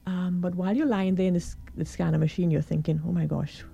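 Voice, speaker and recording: A middle-aged woman doctor with a croaky, hoarse voice, calmly explaining her experience as a radio guest. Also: lowish voice, fast. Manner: calm, reassuring, explains step by step. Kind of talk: radio interview.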